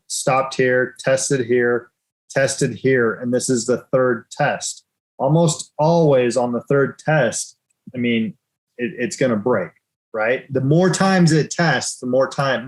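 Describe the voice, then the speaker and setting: A man hosting a live currency trading session and talking through chart moves in loose, chatty sentences. He teases his co-host and laughs often. His voice sits at an ordinary middle pitch. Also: poor audio. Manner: casual, teasing, laughs often.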